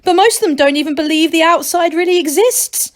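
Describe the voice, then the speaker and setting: A woman's voice: very high voice, a little fast, pitched above the performer's own voice. A woman voicing a clever, self-assured, impatient male gnome from a children's storybook, in an emphatic know-it-all manner. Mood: knowing, cautioning. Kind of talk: children's storybook read aloud.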